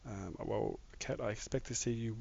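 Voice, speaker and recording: Gravelly Australian man streaming a game. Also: fairly low pitch, lively intonation, normal speed, a little mellow. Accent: Australian, somewhat mixed. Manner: rambling, chats with viewers while building. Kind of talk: casual live-stream chat while playing. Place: home room. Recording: dull lo-fi mic with faint noise.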